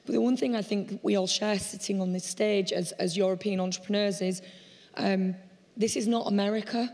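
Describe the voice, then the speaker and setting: A croaky-voiced woman speaks as a founder on a conference stage. Her delivery is candid and conversational but fairly flat in pitch, and her voice rings out with the long reverb of a large hall. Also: moderate pace. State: hoarse, croaky voice. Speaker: startup founder on a conference panel. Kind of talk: panel discussion.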